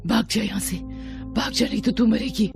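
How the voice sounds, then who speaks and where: A woman's voice: on the high side, moderate pace, vocal fry, over music, voice pushed up. A woman voicing a menacing witch in a horror story, repeating her threatening warnings. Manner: threatening, repetitive. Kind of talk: Hindi horror story acting.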